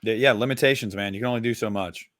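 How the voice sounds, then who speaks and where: A man's voice: on the low side, quick pace, fairly bright tone. A man co-hosting a podcast in casual chat, rambling and swearing casually with many fillers. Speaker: podcast co-host.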